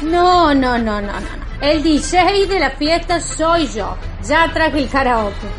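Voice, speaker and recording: An exasperated young member of a squabbling Argentine family played by a woman in a radio play. The voice is high and takes its time, with faint music behind. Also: lively intonation, slow.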